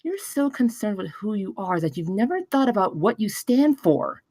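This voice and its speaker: A woman's voice: mid-range voice, sing-song, moderate pace, mellow, no room sound, clean studio sound. A woman playing a principled, frantic female space scavenger in a screenplay table read. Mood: angry, hurt.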